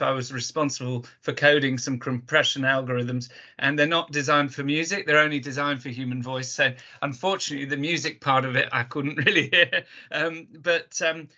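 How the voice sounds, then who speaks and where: Loud bright-voiced man on a call. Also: a little high. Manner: talkative, rambling, many fillers. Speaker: audience member. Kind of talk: question from the audience. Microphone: video call. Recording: dull lo-fi video call audio.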